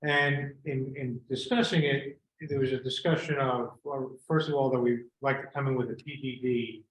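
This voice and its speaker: A man's voice: medium pitch, fairly even intonation, moderate pace. Echoey man presenting to a board. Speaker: presenter of a development plan to a board. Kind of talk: informal explanatory presentation. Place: meeting room. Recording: echoey room with background noise.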